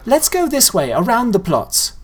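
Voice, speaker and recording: A man's voice: extremely high pitch, huge pitch swings, normal speed, breathy edge, voice pushed up, exaggerated voice. A man voicing a bossy young girl who talks down to her friend, in a storybook reading.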